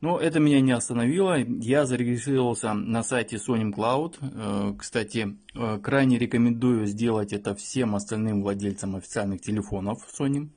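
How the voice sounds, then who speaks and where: A man's voice: medium pitch, moderate pace, hardly any pauses, a little mellow, slightly rough. A man giving an informal product review and tutorial, explaining things in a matter-of-fact way. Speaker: phone reviewer.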